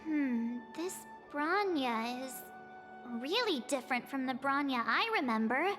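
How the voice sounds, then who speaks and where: Very high-voiced perky teenage girl. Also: measured pace. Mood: puzzled. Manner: chatty, expressive, informal. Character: perky, easily flustered teenage girl adventurer. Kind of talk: acted video game dialogue. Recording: reverberant, with music behind.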